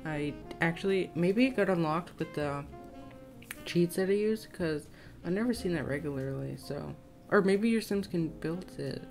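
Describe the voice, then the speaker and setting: A woman's voice: fairly low pitch, moderate pace, vocal fry. A young woman with a slightly scratchy voice giving casual, tentative, rambling voiceover commentary on a game build. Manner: rambling, self-conscious, tentative. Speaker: gaming video creator. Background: soft plucked guitar music.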